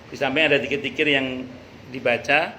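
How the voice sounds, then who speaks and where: A middle-aged man, an Islamic teacher giving an informal, conversational study talk with jokey anecdotes and some halting repetition. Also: medium pitch, fairly even intonation, pushed and bright. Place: a private home hosting a study gathering. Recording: noisy live recording.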